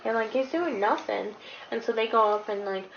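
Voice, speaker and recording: Creaky-voiced woman chatting, lo-fi recording. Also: on the high side, moderate pace. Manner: informal, conversational interjections. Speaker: guest co-reviewer. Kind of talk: casual chat. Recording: dull lo-fi audio with background noise.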